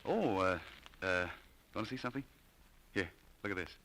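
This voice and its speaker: An actor playing a bashful, earnest shop clerk in a 1940s radio drama, with a hesitant, stammering delivery. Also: male voice, deep voice, expressive, normal speed, fairly bright tone, slight background noise, low-quality recording. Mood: shyly eager. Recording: vintage 1940s radio recording.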